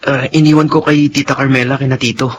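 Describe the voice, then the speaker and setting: A man's voice: medium pitch, level tone, fast, a little hiss or hum, natural voice. A man with a Filipino accent playing an anxious, protective younger brother in a radio drama, agitated and emotional. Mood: anxious, distressed.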